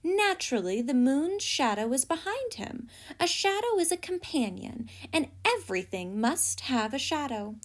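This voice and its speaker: Lady doing a kind wee ant in a kids story, gently explaining stuff, high and swoopy. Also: very animated, moderate pace, few pauses. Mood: reassuring. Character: kindly, wise, reassuring little male ant. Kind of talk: children's storybook read aloud.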